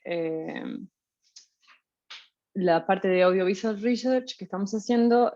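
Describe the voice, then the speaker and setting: A woman with a Uruguayan Spanish accent gives a casual guest lecture, easygoing and full of small fillers and restarts. Her voice sits a little low for a woman. The audio is somewhat muffled and lo-fi. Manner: relaxed, many fillers and restarts. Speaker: guest lecturer, postdoctoral researcher. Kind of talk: informal academic talk.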